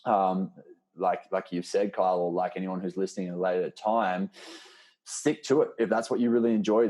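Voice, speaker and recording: A man's voice: deep voice, darkish tone. A man hosting a casual group call as a fitness coach, speaking in an encouraging, reassuring, informal way. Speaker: host and fitness program coach. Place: live online video call.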